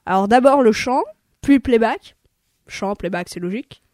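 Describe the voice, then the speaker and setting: A woman's voice: a little high, moderate pace, a little mellow, no echo, studio quality, natural voice. A woman playing a rambling production coordinator. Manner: rambling, full of fillers like "euh" and "hein". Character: hurried female production coordinator briefing an actor. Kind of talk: amateur radio play acting.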